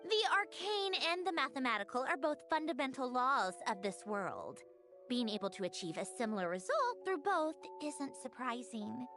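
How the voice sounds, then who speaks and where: A prickly, high-voiced android girl. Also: sing-song, normal speed, fairly bright tone, faint music behind, full character voice. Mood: brisk, confident. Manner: haughty, curt, precise wording. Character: prickly young female machine being, guarded, logical, secretly sentimental. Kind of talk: video game character acting.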